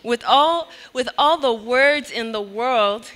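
Young woman poet, bright and slow-paced, reciting her verse into a stage mic. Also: fairly high pitch. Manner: expressive, laughs between lines. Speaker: spoken word poet. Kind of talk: spoken word poetry performance. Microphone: handheld mic on a stand.

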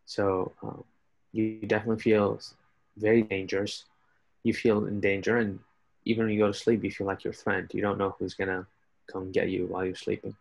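A man's voice: lowish voice, level tone, brisk, gravelly, poor audio. A man from Iraq giving a plain-spoken, reflective personal account as a participant on a video call. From born in Iraq, grew up as a refugee in several countries. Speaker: workshop participant. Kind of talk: personal testimony.

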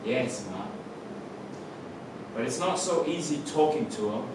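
A man's voice: mid-range voice, expressive, measured pace, natural voice. An actor playing Adam, a young man teasing and worrying about his mother, in a staged reading on a theatre stage. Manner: wry, teasing, then alarmed and shouting. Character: young man Adam, anxious, joking son. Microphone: far camera, no visible mic. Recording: echoey hall from far off, noisy.

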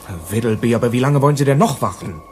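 A man's voice: medium pitch, lively intonation, quick pace, darkish tone, soft background music, natural voice. An actor playing an impatient, sceptical young male manager in a sci-fi radio play, questioning and pressing. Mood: doubtful.

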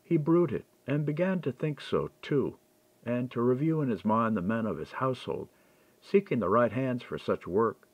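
A man reading a historical mystery as an audiobook, in a measured, expressive storytelling manner. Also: medium pitch, dry recording.

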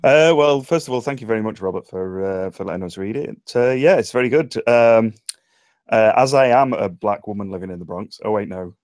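A man's voice: on the low side, a little fast, darkish tone, no echo. An English man giving informal script feedback in hesitant phrases with dry humour. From England. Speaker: table read participant giving script feedback. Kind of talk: informal spoken critique. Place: remote online voice call.

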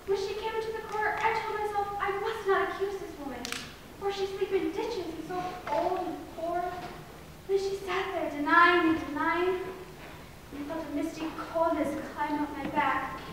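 A woman's voice: very high-pitched, a bit bright. A young woman acting an anxious, self-important eighteen-year-old servant girl in a period stage play, with dramatic, emphatic delivery. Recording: echoey room, distant mic, faint noise.

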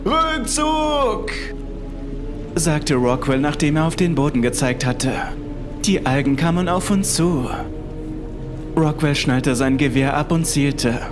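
A man's voice: on the high side, a little slow. A man reading a scripted first-person horror story as its narrator. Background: music.

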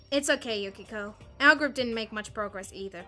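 A woman's voice: fairly high pitch, moderate pace, sharp tone, soft background music. A woman voicing a put-upon, exasperated schoolgirl in a fan audio drama.

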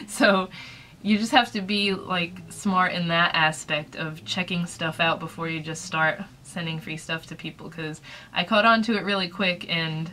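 A woman's voice: on the low side, fairly bright tone, slight background noise. A woman in her thirties giving casual advice about running a small shop, chatty and rambling, her throat dry from a recent illness, recorded on a webcam in a small room. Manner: chatty, rambling, lots of fillers. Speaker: small business owner vlogger.

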